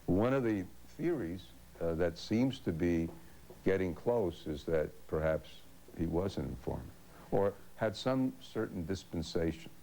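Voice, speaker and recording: A man, an attorney, giving a measured interview soundbite. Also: low-pitched, lively intonation, creaky, warm tone, a little hiss or hum, rough recording.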